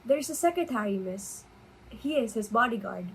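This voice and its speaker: A woman's voice: fairly high pitch, animated, moderate pace, husky, exaggerated voice, poor audio. A woman playing a deferential, formal household servant in a dramatic reading of a stage comedy, polite and dutiful.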